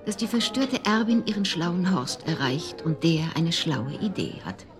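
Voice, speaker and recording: An actress playing a gossipy yet guarded office worker in a radio crime drama, offhand and dryly sardonic. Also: female voice, lowish voice, lively intonation, measured pace, music in the background. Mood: dry, evasive.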